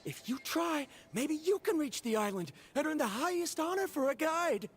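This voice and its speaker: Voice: high-pitched, lively intonation, moderate pace. An actor playing a stubborn, proud young male adventurer, intense and defiant, in an anime-style video game. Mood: insistent.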